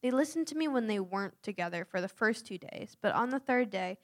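A young woman from the United States reading a prepared personal account aloud in an earnest, steady way. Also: medium pitch, a little fast. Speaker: youth mission volunteer.

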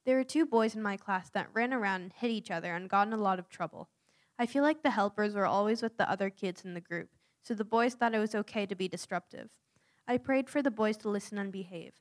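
An earnest young woman reads a prepared personal account aloud at a steady pace. Her voice sits in an ordinary middle range for a woman. She is from the United States and speaks as a youth mission volunteer. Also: medium pitch, fast.

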